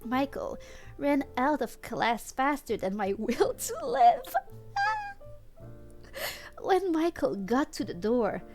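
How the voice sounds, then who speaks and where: Slow-paced woman streamer reading fanfic over music. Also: high voice, big pitch swings, darkish tone. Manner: giggly, often breaking into laughter while reading. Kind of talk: comedic live fanfic reading with chat asides.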